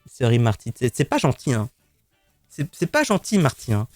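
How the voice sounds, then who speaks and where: A man's voice: medium pitch, sing-song, brisk, dry recording, soft background music. A man streaming live, chatting casually and rambling teasingly to his cat.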